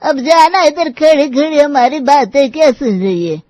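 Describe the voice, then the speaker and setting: A woman playing a stern, sharp-tongued old village grandmother-in-law who scolds and commands in a cartoon story. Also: sits high, normal speed, no echo, lightly acted. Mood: stern, demanding. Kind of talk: acted dialogue in a cartoon moral story.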